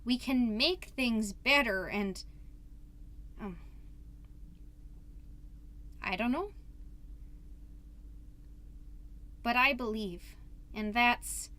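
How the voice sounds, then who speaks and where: A suburban PTA mom hosting her own comedy podcast. Her voice is high and sharply bright. She rambles earnestly, doubting herself, and reaches for grand declarations. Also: extremely slow, pauses often, a little hiss or hum, heavily acted. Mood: earnest, faltering. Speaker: podcast host character. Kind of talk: comedic confessional monologue.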